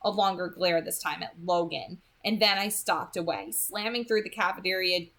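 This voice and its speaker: A woman's voice: fairly low pitch, fairly even intonation, moderate pace, fairly bright tone. A woman reading a novel aloud as a hobby audiobook narrator, telling the story expressively.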